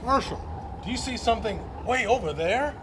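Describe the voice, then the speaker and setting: A man's voice: very high voice, animated, fairly quick, fairly bright tone, slight background noise. A man playing a terse, fact-seeking lawman in an old-time radio western parody. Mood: matter-of-fact. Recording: live club performance over clear music.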